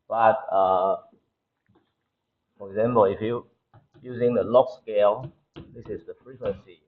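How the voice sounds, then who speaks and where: A man with a Taiwanese accent lecturing to a class, explaining technical material haltingly and often repeating his phrases. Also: lowish voice, phone-quality sound. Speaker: university lecturer. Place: indoor classroom.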